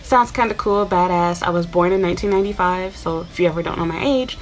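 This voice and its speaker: Chatty young woman from Chicago, lowish voice. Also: fast, rough recording. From Chicago, USA. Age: 20s. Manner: chatty, rambling with many fillers. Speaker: YouTuber. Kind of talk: casual talk to camera.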